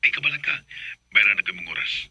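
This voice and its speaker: A man playing a pushy deep-voiced bar customer. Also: lively intonation, quick pace, bright, forceful tone, a little hiss or hum, heavily acted, poor audio.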